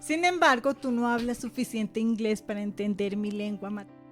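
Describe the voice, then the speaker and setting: A woman's voice: a little high, steady tone, normal speed, faint music behind. A woman co-pastor interpreting into Spanish, with small hesitations and fillers as she goes.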